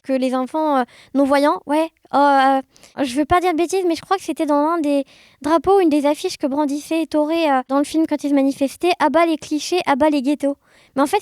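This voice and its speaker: High-pitched woman, expressive podcast guest. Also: dry recording, studio quality. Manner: enthusiastic, expressive. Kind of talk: casual conversation.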